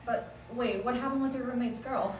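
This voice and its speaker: A woman's voice: on the high side, normal speed, close to an everyday voice. A woman playing a wry, needling, level-headed young office assistant in a comedic script read. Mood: curious. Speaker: actor at a script table read. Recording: echoey room, distant mic, noisy.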